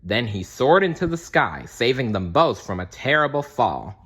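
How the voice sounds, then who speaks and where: A man reading a children's storybook aloud as scripted narration, dramatic and expressive. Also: medium pitch, moderate pace, husky. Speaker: storybook reader.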